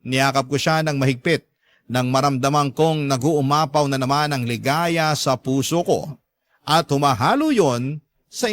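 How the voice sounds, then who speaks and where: A man's voice: a little high, a bit bright, dry recording. A man playing a devoted, insecure lover who narrates his own story, earnest and sentimental, with a Filipino accent. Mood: earnest, emotional. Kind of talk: first-person dramatized story narration.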